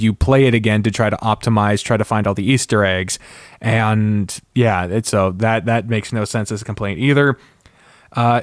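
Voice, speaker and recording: A man's voice: medium pitch, no room sound, studio quality. A man hosting a solo podcast about games, casually thinking aloud in a rambling, sweary commentary. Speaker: podcast host.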